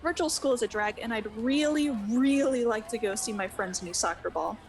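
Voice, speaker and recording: An actress voices a bored young girl with a fairly high voice. She pleads in a slightly whiny way, as a schoolkid in an audio drama. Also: normal speed, natural voice. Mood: bored, pleading.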